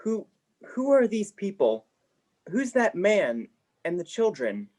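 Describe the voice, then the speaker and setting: A woman's voice: mid-range voice, big pitch swings, slow, warm tone. A woman playing a frightened old miser man in a radio play. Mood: bewildered.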